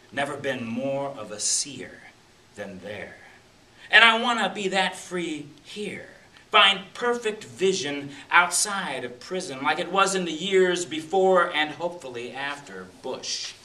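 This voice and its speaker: A man's voice: medium pitch, bright, forceful tone, echoey room. A man giving a dramatic, incantatory spoken word poetry reading with punched short phrases, recorded in a library room from a distance. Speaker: poet. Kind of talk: spoken word poetry reading, Beat-style list poem. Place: indoor public library room.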